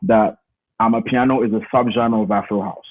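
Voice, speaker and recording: A man's voice: on the low side, fairly even intonation, a little fast. A man, talking casually and emphatically as an interview guest on a call. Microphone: remote call. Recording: thin phone-like call audio.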